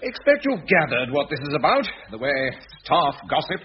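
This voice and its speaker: Pompous boss type, chuckles at his own jokes, highish voice, dull old radio. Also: big pitch swings, normal speed, slight background noise. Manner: formal, self-satisfied, chuckling. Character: pompous middle-aged male boss, oblivious. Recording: muffled old radio recording.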